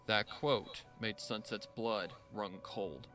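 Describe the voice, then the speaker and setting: A man narrating a dramatic scripted story in a measured storytelling style. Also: on the low side, bright, forceful tone. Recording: voice over background music.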